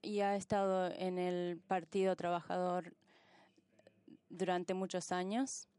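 Female conference interpreter, lowish and bright, phrasing in fragments with self-corrections. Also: little pitch movement, slow, smooth, no echo. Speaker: simultaneous interpreter. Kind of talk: live interpretation of conference speeches.

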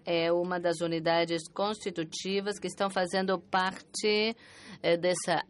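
A woman working as a conference interpreter, interpreting a talk with an uneven pace that rushes and then slows, pausing and restarting often. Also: on the low side. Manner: uneven pace, alternately rushing and slowing.

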